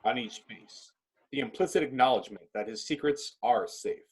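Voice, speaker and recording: A man with a husky voice narrating a script in a clipped, descriptive reading style. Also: mid-range voice, moderate pace, darkish tone, low-quality recording.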